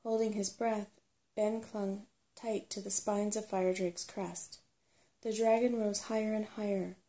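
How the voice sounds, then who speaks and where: A woman reads a story in a hushed ASMR manner. Her voice is dark and relaxed with plenty of fry, and her delivery is soothing. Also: medium pitch, unhurried. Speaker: narrator.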